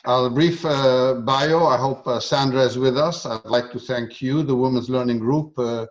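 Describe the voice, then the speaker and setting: A man in his seventies from Romania and Israel, giving an introductory talk as guest speaker over a dull video call, with hesitant pauses. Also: medium pitch, steady tone. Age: 70s.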